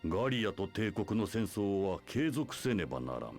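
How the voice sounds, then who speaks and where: An imperious, scheming leader of a church, deep and sweeping in pitch. He speaks in grandiose, archaic formal phrases, as in a dramatic video game scene, with music underneath. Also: big pitch swings, quick pace. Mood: grandiose, scornful. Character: imperious, scheming male church leader preaching his doctrine. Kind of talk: Japanese video game voice acting.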